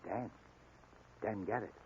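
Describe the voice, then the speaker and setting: An actor playing a kindly, learned doctor with a deliberate, precise delivery in a 1940 radio drama. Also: male voice, lowish voice, creaky. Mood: urgent, confiding. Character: kindly, learned male doctor, secretive scientist friend. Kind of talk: 1940s radio acting. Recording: muffled, noisy 1940 radio recording.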